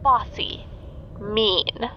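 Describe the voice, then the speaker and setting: A woman's voice: medium pitch, drawn-out words, dull audio, a little hiss or hum. A woman voicing the clouds as a scornful, scoffing group in a fairy tale, speaking with disdain. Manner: disdainful, mocking.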